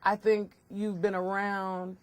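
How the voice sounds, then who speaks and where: A woman's voice: mid-range voice, level tone, takes it slowly, noisy background. Effusive female talk show host. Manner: effusive, affirming, repeats phrases for emphasis. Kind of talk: chatty celebrity interview.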